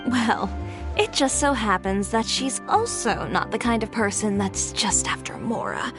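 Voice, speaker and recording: A woman's voice: on the high side, expressive, measured pace. A woman voicing a composed, matter-of-fact female designer and businesswoman in a video game. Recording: faint background music.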